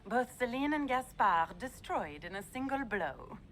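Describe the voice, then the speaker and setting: A man's voice: extremely high pitch, big pitch swings, measured pace, fairly bright tone, full character voice, low-quality recording. An actor voicing a smug, theatrical noble villain who betrays his brother in a video game. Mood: gloating. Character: scheming treacherous noble sibling, villain.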